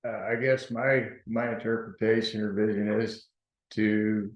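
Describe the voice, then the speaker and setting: A town board trustee, a soft-spoken man speaking slowly and flatly at a meeting. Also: on the low side. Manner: soft-spoken, flat, unhurried. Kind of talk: informal meeting talk. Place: meeting room. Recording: echoey, dull room recording.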